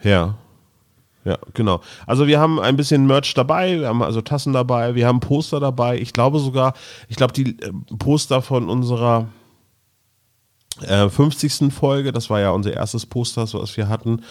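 A man's voice: mid-range voice, normal speed. A man, a podcast co-host, chatting casually and telling anecdotes in an easygoing way. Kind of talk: casual chat and storytelling.

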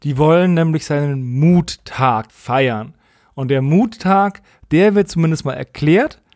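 Male podcast co-host, slightly higher-pitched, dry close recording, casual wry review chat. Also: a little slow, darkish tone, no room sound.

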